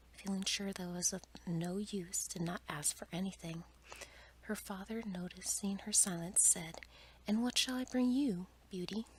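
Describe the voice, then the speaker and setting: A woman whispers a fairy tale in a soft, breathy, careful ASMR bedtime-storytelling style. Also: on the low side, slight background noise, rough recording. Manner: soft, careful read-aloud delivery.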